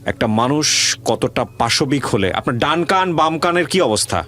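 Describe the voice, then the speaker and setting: A man with a Bangladeshi accent hosting a conversational interview, speaking out loudly and deliberately and addressing the audience. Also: medium pitch, animated. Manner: speaks loudly and deliberately for a hard-of-hearing guest. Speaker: radio show host interviewing a guest.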